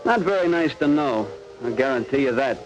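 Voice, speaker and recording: An actor playing a brisk, excitable man in a 1940s radio crime drama. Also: medium pitch, close to an everyday voice, low-quality recording. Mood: grave. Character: brisk male lawman or onlooker. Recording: old radio recording, music behind.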